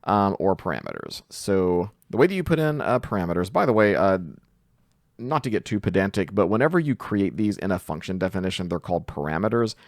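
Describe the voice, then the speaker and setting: A man's voice: low-pitched, big pitch swings, fairly quick, no echo, studio quality. A man giving a casual coding tutorial, explaining things step by step in an informal way. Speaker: programming tutor.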